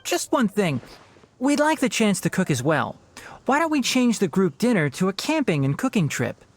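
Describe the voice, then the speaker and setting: A dry-witted young forest researcher, lively intonation. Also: male voice, sits high, moderate pace, a little hiss or hum, exaggerated voice. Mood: appreciative, polite. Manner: articulate, dry, polite. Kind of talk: video game voice acting.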